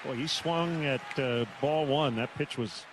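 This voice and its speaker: An American man calling live baseball on the radio in short, clipped phrases with pauses. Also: medium pitch. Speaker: baseball play-by-play announcer. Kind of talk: live radio play-by-play commentary. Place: stadium broadcast booth. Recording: noisy live ballpark broadcast.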